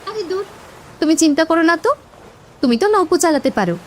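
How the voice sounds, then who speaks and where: A woman's voice: very high voice, lively intonation, fairly quick, slight background noise. A woman voicing a kindly, persuasive but easily frightened village wife in a horror cartoon. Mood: reassuring. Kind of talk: Bengali horror cartoon dubbing.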